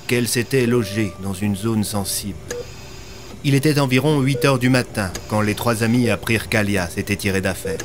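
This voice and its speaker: A young man narrating scripted audio fiction as a storyteller, with soft music behind him. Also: lowish voice. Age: young adult.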